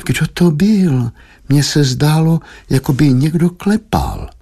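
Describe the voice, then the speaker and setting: A man's voice: sing-song, creaky, mellow, husky, full character voice. A man voicing an anxious, doting wife and mother in an audiobook, moaning and fretful, full of insistent questions. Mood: drowsy insistent. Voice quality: high, plaintive, moaning.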